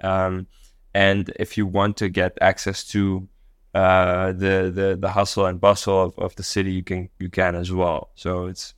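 Low, smooth-voiced man musing. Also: measured pace, dry recording, studio quality. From Tanzania. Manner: relaxed, thoughtful, many fillers and restarts. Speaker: guest, company co-founder. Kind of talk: casual podcast interview.

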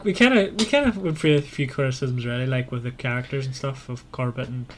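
A man's voice: medium pitch, fast talker, a little hiss or hum. A man hosting a casual book discussion in a conversational, questioning way. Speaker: podcast host.

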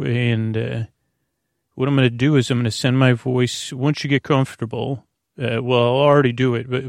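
An American man with a creaky voice, hosting a bedtime podcast in a lulling, rambling, hesitant way, full of filler words and tangents. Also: medium pitch, dry recording, clean studio sound. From United States. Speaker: podcast host.

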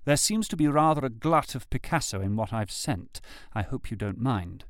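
A man's voice: medium pitch, expressive, moderate pace, dry recording, clean studio sound, lightly acted. A man reading love letters aloud as a lovesick, anguished writer longing for an absent lover, with heartfelt, literary phrasing. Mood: wistful, wry.

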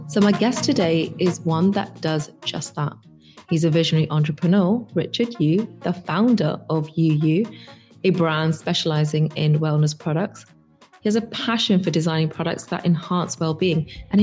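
A woman's voice: on the low side, warm tone. A woman with a London accent, hosting a podcast with a polished, enthusiastic delivery of her intro and questions. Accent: London English. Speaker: podcast host. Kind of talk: scripted intro and interview.

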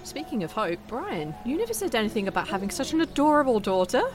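Flustered, animated woman playing a friendly survivor. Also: a little high, fast talker. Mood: warm. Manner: hesitant, flustered. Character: friendly, awkward, eager female survivor. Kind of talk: audio drama dialogue. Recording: music bed behind the voice.

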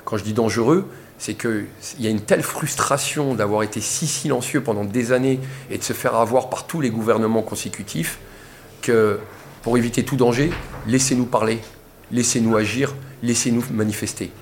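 A man's voice: medium pitch, fairly even intonation, moderate pace, a little hiss or hum. A man from Portugal speaking in his thirties at a press interview, impassioned and insistent, repeating his points for emphasis. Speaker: protester giving a press interview. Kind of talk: press conference statement.

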